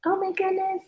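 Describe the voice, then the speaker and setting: A woman reading a storybook aloud to young children in an expressive, teacherly way, with instructive asides to her listeners. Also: very high-pitched. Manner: animated, instructional, talks directly to young listeners. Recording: echoey room.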